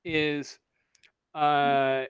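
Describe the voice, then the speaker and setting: A game master narrating a tabletop roleplaying game in a casual, halting, conversational way. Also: mid-range voice, level tone, clean tone, no echo, muffled recording.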